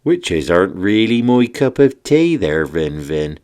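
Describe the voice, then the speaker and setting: A man's voice: low-pitched, big pitch swings, a little mellow. A man voicing an obliging shark wizard in a theatrical manner for a children's bedtime story. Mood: cheerful, obliging. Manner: theatrical, incanting, drawn-out.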